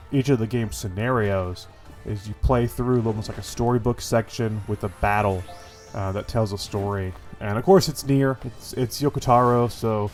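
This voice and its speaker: A man with a stuffy, congested voice giving casual, rambling impressions of a game as host of his gaming channel, full of fillers and the odd swear. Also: medium pitch. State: congested from a cold.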